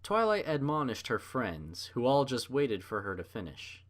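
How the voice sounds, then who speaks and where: A man's voice: mid-range voice, huge pitch swings, normal speed. A man reading a story aloud as narrator, in a scripted storybook style.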